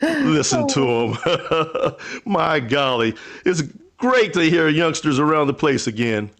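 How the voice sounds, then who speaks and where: An actor playing a kindly older rural storekeeper in a radio play, folksy, halting, hospitable and a little wistful. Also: male voice, fairly high pitch, sing-song, a bit bright, full character voice. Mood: jovial, welcoming. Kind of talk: old-time radio drama acting.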